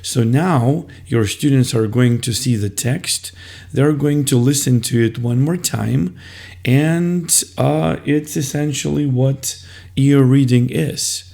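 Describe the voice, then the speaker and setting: A man explaining classroom methods as a teacher, in a deliberate, step-by-step instructional style. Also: mid-range voice, creaky, warm tone.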